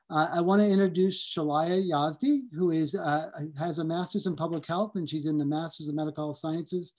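A middle-aged man, a physician, welcoming people to a community health webinar over a video call, friendly and unhurried with frequent fillers. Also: fairly high pitch, darkish tone. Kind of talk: opening remarks and introductions.

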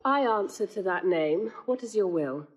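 An actress playing a proud, sharp-witted young woman in a classical audio comedy, with dry teasing delivery. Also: medium pitch, expressive, moderate pace, breathy edge.

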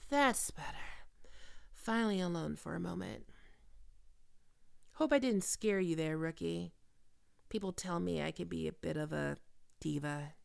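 A woman's voice: on the low side, measured pace, vocal fry, long pauses, smooth. A woman playing a demanding, sardonic, flirty diva film star in an ASMR roleplay. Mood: wry, reassuring. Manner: sardonic, swearing, teasing.

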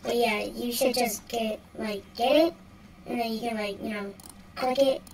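A child or young teen giving a casual software tutorial in an offhand, slangy way. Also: mid-range voice, steady tone, a little slow. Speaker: tutorial presenter. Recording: distant mic with clear background noise.

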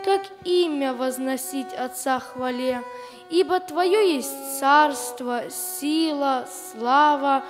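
Young woman reciting a poem slowly. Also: sits high. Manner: slow, measured recitation. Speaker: poetry reciter. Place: large church hall. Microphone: handheld mic. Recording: long church hall reverb.

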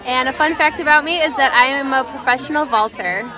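A woman's voice: sits high, fairly quick, crowd noise, low-quality recording. A young woman from Illinois giving a friendly self-introduction outdoors. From Chicago area. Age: young adult. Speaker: riding staff. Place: outdoors on a grassy field.

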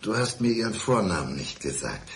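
An actor in a German radio crime drama playing a lonely, bitter blind divorced man who speaks in a probing, deliberate way. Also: deep voice, very animated, a little hiss or hum. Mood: insistent, eager.

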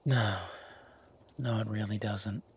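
Husky, slow-spoken werewolf boyfriend, acted by a man. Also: on the low side, fairly even intonation, heavily acted. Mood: tender, content. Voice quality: husky, breathy. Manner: gentle, halting, murmured with long pauses. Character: affectionate, laid-back male werewolf boyfriend, content and tender. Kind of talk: intimate roleplay audio, slow soft conversational acting.

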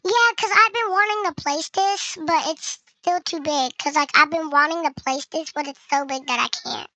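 Bright, high-pitched young gamer through voice changer. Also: moderate pace, no room sound, rough recording. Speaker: gaming video creator. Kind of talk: casual gameplay commentary.

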